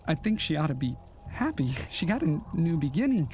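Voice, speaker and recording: An actor in an audio drama playing a ghostly, wistful killer from the 1940s, with halting, old-fashioned courteous speech and quiet menace. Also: male voice, a little high, sing-song, soft background music. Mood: wistful, guarded.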